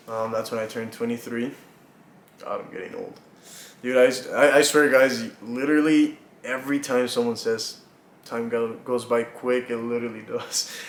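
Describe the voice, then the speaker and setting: A man in his twenties from Mexico, chatting casually to camera about himself, relaxed and rambling with lots of fillers and little laughs. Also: medium pitch. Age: 20s. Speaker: vlogger. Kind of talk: casual get-to-know-me chat. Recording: echoey room.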